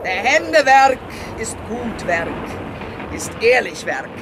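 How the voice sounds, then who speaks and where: A woman's voice: on the high side, sing-song, takes it slowly, noisy background. An actress playing a fierce, scornful woman sea captain in a satirical radio play, grand and commanding in manner. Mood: grim, imperious.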